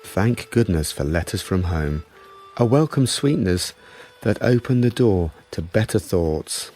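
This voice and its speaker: An actor playing a sombre, reflective narrator of a war drama, speaking in a solemn, measured way. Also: male voice, low-pitched, animated, mellow, faint music behind. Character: sombre, reflective narrator of WW1 soldiers' suffering.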